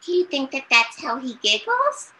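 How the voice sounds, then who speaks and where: High, very bright, eager woman co-host. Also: very animated, normal speed, poor audio. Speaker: storytime co-host. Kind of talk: playful chat for children.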